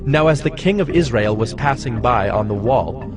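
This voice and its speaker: A man reads scripture as a narrator, solemn and measured. His voice sits at a middle pitch, with a clear musical bed behind it. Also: lively intonation, over loud music. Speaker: Bible narrator.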